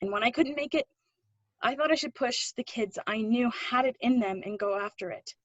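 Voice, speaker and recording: A woman's voice: on the high side, normal speed, dry recording, muffled recording, close to an everyday voice. A woman acting an earnest, pushy drama teacher with faded dreams, encouraging and wistful in a play readthrough. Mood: wistful, impassioned.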